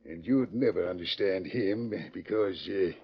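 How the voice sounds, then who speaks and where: An actor playing a wry, good-humored frontier sheriff with drawling cowboy talk in a 1940s western radio drama. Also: male voice, deep voice, a little slow. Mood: wry, chuckling. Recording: dull lo-fi 1940s radio recording.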